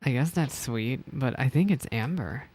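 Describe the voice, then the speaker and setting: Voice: mid-range voice, expressive, moderate pace, a little mellow, husky. A video game streamer reading game text aloud and reacting with emotional commentary. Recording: game music behind the voice. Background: video game music.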